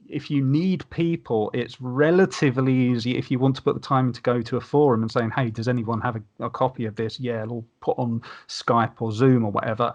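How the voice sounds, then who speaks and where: A man's voice: mid-range voice, dull audio. A man chatting casually as a podcast panelist, explaining things in a rambling, enthusiastic way.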